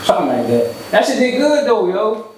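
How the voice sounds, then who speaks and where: Male guest, Baltimore accent, rapid casual slang, slightly high pitch, recorded with heavy echo and faint noise. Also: huge pitch swings. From Baltimore, United States. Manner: fast-talking, slangy. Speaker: podcast guest, comedian. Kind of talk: casual interview chat. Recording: echoey room, background noise.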